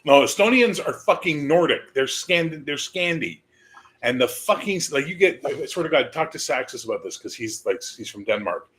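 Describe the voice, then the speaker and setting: A man with a slightly high voice talks loosely on a livestream, rambling from one point to the next. He swears freely and jokes as he goes. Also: lively intonation, normal speed, fairly bright tone, a little hiss or hum. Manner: rambling, profane, jokey. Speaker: stream host. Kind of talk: casual chat.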